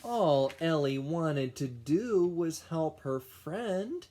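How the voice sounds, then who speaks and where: Man, slow pace, lively swooping pitch, expressive children's read-aloud, dramatic pauses. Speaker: children's music teacher reading aloud. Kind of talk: animated picture-book read-aloud for young children.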